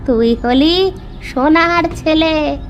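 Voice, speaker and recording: A woman voicing a kindly old village woman in a cartoon, speaking in a slow, drawn-out way as she tests and blesses. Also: sits high, soft background music, voice pushed up. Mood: grand, solemn. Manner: slow, drawn-out, addressing youngster familiarly. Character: old village woman granting boons, kindly but testing.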